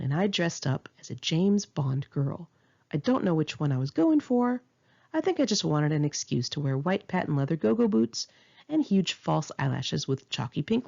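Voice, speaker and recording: Bright-voiced woman, lively memoir reading. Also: low-pitched, creaky, highs cut off. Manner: candid, informal, wry. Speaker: author reading own memoir aloud. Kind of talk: conversational memoir narration, read in one take.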